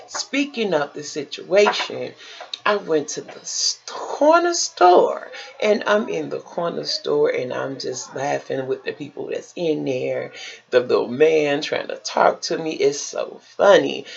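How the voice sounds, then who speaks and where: An American woman vlogger from Chicago tells stories in a casual, chatty way. Her voice is raspy with a creaky fry, and she takes her time, leaning on repeated phrases for folksy emphasis. The sound is a little dull and lo-fi. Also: low-pitched. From Chicago, United States. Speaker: vlogger telling personal stories.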